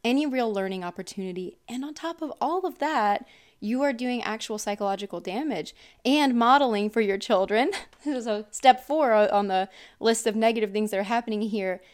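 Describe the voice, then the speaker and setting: A woman's voice: a little high, moderate pace. A woman in her thirties hosting a casual talk with her father, earnest and chatty, often laughing. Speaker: host.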